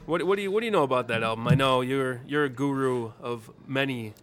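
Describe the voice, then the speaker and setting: A man's voice: medium pitch, quick pace, a bit bright. A man hosting a casual podcast who talks in a laid-back way and keeps agreeing with his guest, recorded live in a hookah bar restaurant. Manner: laid-back, says yeah and right a lot. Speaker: podcast host. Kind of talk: casual chat. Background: chatter.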